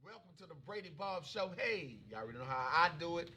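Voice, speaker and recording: A man's voice: a little high, sing-song, quick pace, bright, forceful tone. A man hosting a casual talk show, folksy and chatty, often repeating himself and addressing the audience directly. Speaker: comedian. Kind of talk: casual chat.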